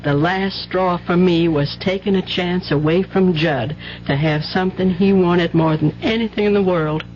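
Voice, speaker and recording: A dull old radio drama recording with a faint hum. A woman acts out a weary American wife and mother, guarded and plain-spoken, in a low voice for a woman. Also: normal speed, darkish tone, lightly acted. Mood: hurt, wary. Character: weary, wary working-class wife and mother.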